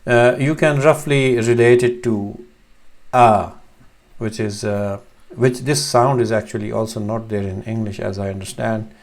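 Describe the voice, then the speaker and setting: A man from Pakistan whose first language is Urdu, talking into a desk webcam in a small room at home. His voice sits low with a gravelly edge, and he teaches hesitantly, full of fillers and restarts. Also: a little slow. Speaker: Arabic teacher. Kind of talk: screen-share lesson.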